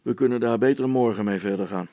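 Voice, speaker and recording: A man's voice: medium pitch, fast, mellow, no echo, lightly acted. A man voicing a blustering village policeman who stammers, repeats himself and is secretly scared, in a storybook reading. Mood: shaken, sheepish.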